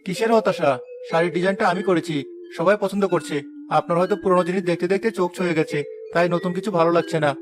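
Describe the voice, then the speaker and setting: Man voicing a cocky, very fast-talking young son. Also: high voice, fairly even intonation, over music, close to an everyday voice. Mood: defiant. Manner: boastful, argumentative. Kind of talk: moral-story cartoon dialogue.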